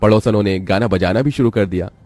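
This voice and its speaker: A man narrates a scripted story. His voice is fairly low and mellow. The recording is dry and close, with no room sound. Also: fairly quick, no room sound, soft background music.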